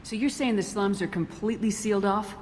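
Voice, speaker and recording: An actress playing an assertive human adventurer in a video game, direct and questioning. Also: female voice, mid-range voice, fairly quick, a little mellow, close to an everyday voice. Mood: probing, direct. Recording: long reverb, some noise.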